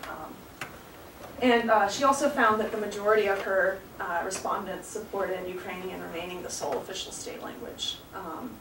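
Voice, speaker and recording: A woman's voice: medium pitch, fairly even intonation, moderate pace. Young woman presenting research, earnest, lots of ums; echoey room, distant mic, background noise. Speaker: guest speaker, graduate student. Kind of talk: academic talk. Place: lecture room.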